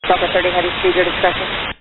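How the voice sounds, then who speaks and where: Static and a narrow radio band frame this man's voice. He is an air traffic controller with a high, level delivery, issuing clipped procedural instructions very quickly. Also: monotone. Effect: aviation radio transmission. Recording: noisy, thin air traffic radio channel.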